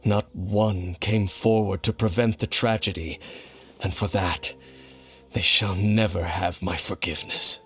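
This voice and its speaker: An actor playing a brooding ancient male wanderer in a video game, solemn and vengeful, with a grave, formal, measured delivery. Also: sits low, steady tone, husky, soft background music. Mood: somber, resentful. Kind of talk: scripted video game voice acting.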